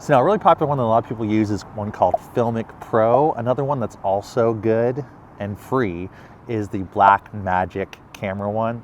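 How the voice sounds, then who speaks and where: A man's voice: lowish voice, a little mellow, slight background noise. A man speaking as a video creator, presenting in a vlog style.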